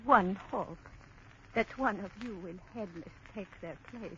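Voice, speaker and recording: A woman's voice: medium pitch, a bit bright, lightly acted. An actress playing a cryptic, ominous old woman who speaks in riddles, in a 1940s radio drama. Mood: chanting. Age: older. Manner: slow, chanting, speaks in riddles. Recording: dull old radio broadcast, faint hiss.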